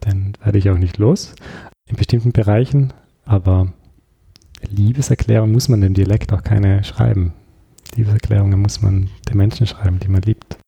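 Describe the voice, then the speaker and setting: A man's voice: lowish voice, steady tone, moderate pace, creaky, dark tone, breathy edge. A man with an Austrian accent chatting casually as an interview guest, thinking aloud and often searching for his words. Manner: hesitant, reflective, searching for words.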